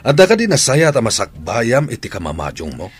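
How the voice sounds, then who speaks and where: A man's voice: mid-range voice, huge pitch swings, fairly quick, slightly rough, soft background music. An actor with an Ilocano accent playing a stern, worried family man in a radio drama, scolding and questioning. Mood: reproachful. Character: stern, worried family man fretting over a relative's gambling.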